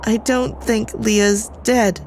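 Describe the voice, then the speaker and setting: An actress playing a dazed, resigned spaceship crew member with a detached, fatalistic manner in a sci-fi audio drama. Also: female voice, on the high side, fairly even intonation, slow pace, noisy background.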